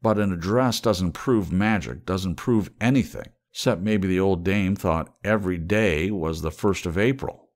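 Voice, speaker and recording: A man's voice: deep voice, a little slow. A man reading a work of fiction aloud as an audiobook narrator.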